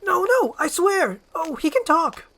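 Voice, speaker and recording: A man's voice: very animated, measured pace, pushed and bright, heavily acted. A man voicing a flustered old peasant woman who fusses and pleads in a storybook reading. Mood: desperate. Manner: high-pitched, fussy pleading.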